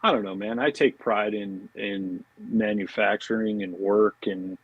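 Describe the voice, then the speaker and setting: A man's voice: lowish voice, fairly even intonation, unhurried, husky, dry recording, muffled recording. An American man talking casually as an interview guest, rambling informally and often dropping in "you know" and "man". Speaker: podcast guest, fishing products marketing director.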